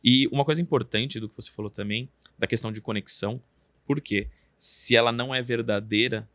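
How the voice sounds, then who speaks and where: A man with a Brazilian Portuguese accent hosts a podcast in a relaxed, chatty manner. His voice sits at a middle pitch. It is recorded dry and close. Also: fairly quick, no echo. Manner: relaxed, chatty, full of filler words. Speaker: podcast host. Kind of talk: casual podcast interview.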